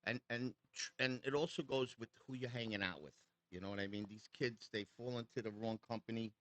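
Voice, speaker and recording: A man's voice: mid-range voice, brisk, fairly bright tone. A man in his sixties, a custom chopper builder, chatting bluntly in an interview and swearing freely. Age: 60s. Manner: defiant, preachy toward critics. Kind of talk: casual interview chat.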